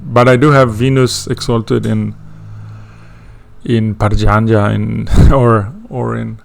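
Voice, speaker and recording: Hesitant Swedish man, gravelly voice. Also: lowish voice, flat delivery, takes it slowly. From Sweden. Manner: hesitant, many fillers and restarts. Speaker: astrology teacher. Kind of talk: casual course introduction.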